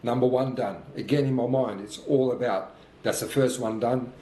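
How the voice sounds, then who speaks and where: A man's voice: medium pitch, fairly even intonation, moderate pace, warm tone. A man of about fifty talks over footage of his own workout, coaching in a plain, encouraging way. His voice sits in a middle register. The sound has a noticeable roomy echo. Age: 50s. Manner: motivational, talks through his own thoughts. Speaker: fitness coach.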